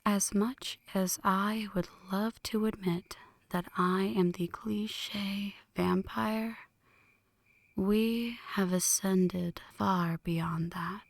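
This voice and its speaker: Woman as a slow, whispering, seductive vampire woman. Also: medium pitch, steady tone, dry recording. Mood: teasing, seductive. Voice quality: hushed, breathy whispering. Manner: slow, teasing, poetic. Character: flirty, brooding female vampire, seductive and melancholic. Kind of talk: ASMR roleplay, scripted dramatic monologue.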